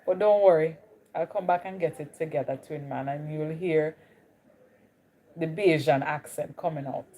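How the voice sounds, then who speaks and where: A woman's voice: low-pitched, normal speed, mellow. Jamaican girl chatting on her livestream, loose and friendly, saying hi to folks. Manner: chatty, greets viewers, drifts between Caribbean accents. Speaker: livestream host. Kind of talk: casual live stream chat with viewers.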